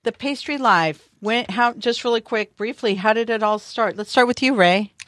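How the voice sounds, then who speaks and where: A woman hosting a podcast, interviewing guests in a relaxed, encouraging way. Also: a little high, moderate pace, no room sound. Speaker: podcast host.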